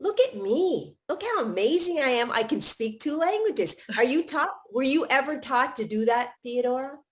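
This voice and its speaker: Middle-aged woman, host, slightly high voice, loose run-on conversational delivery. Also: lively intonation, normal speed. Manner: warm-hearted, talks in long run-on thoughts with restarts. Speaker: podcast host. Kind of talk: casual live chat.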